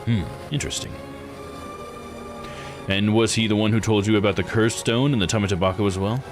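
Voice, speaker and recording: A man's voice: lowish voice, fairly even intonation, fairly quick, over music, lightly acted. A man voicing a curious, theatrical male adventurer in visual novel dialogue, musing and grandly polite. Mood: intrigued, musing. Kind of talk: visual novel character read-aloud.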